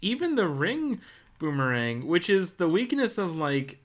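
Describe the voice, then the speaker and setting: A man's voice: high voice, very animated, measured pace. A man co-hosting a podcast, talking casually and informally about video games. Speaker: podcast co-host.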